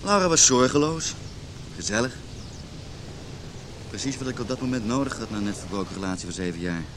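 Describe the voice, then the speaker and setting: A man's voice: mid-range voice, lively intonation, fairly quick, lightly acted. An actor plays a disillusioned man in his thirties in a Dutch radio play. He has a middling male voice and talks naturalistically, with a self-pitying streak. Faint noise sits behind him. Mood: rueful.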